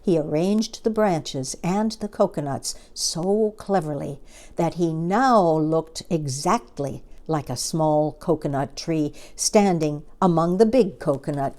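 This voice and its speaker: Woman, children's storyteller, lowish pitch, soft dark tone, expressive, dramatic emphasis.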